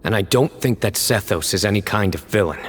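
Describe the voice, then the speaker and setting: Deep-voiced, resolute warrior. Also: male voice, lively intonation. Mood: pensive. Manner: measured, earnest. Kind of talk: fantasy game voice acting.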